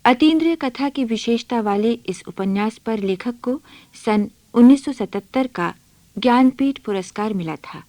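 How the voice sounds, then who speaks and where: A woman's voice: a little high, animated, moderate pace, warm tone, dry recording. A woman announcing a radio play in a formal, scripted style.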